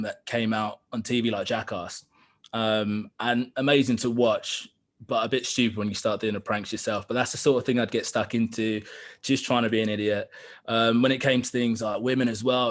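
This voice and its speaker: A man in his thirties from East London with an East London accent, talking openly and reflectively as a podcast guest, with plenty of ums. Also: mid-range voice. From East London, England. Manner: open, reflective, lots of ums. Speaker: podcast guest, digital consultant. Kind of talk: casual interview chat.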